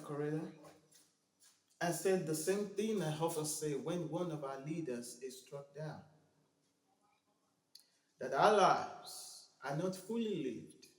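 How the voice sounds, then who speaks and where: An actor playing a civil rights preacher giving a grieving, impassioned eulogy in a dramatic, rhetorical film-monologue style. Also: male voice, on the high side, reverberant, natural voice. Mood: hushed, mournful. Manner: rhetorical, building from hushed solemnity to fiery cries.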